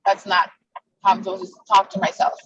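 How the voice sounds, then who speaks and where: A woman's voice: medium pitch, monotone, fairly quick, fairly bright tone, breathy edge, muffled recording. A woman with a Spanish accent, native Spanish speaker, asking questions hesitantly with many restarts.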